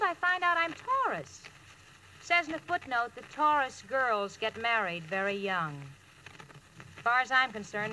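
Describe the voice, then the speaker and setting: A woman's voice: fairly high pitch, pushed and bright. An actress playing a wry, marriage-hungry young single woman in a 1940s radio comedy, with theatrical comic delivery on an old recording. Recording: noisy vintage radio recording.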